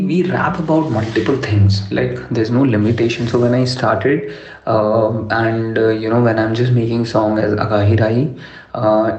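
A man's voice: medium pitch, a little mellow, roomy sound, dull audio. A man, a rapper answering interview questions in a rambling, hesitant way with many fillers. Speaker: rapper, podcast guest.

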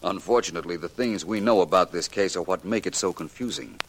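Suave radio detective, deep voice, urbane measured n precise, 1940s mystery show. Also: male voice, low-quality recording. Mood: deliberate, puzzled. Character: suave, unflappable gentleman detective.

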